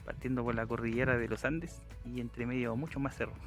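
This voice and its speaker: A man's voice: mid-range voice, steady tone, fairly quick, music in the background. A man with a Chilean Spanish accent talking casually as an amateur radio operator doing a field test outdoors. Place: outdoors on a hilltop.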